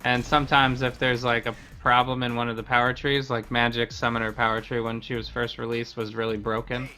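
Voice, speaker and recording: A man's voice: mid-range voice, level tone, a little fast, sharp tone, soft background music. A man giving casual gameplay commentary as a streamer, explaining things in a conversational way. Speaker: game streamer.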